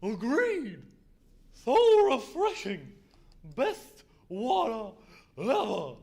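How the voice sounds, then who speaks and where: A man voicing a cheery, excitable talking horse in a children's radio play, with squeaky, slow exclamations. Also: vocal fry, slight background noise, exaggerated voice. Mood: contented.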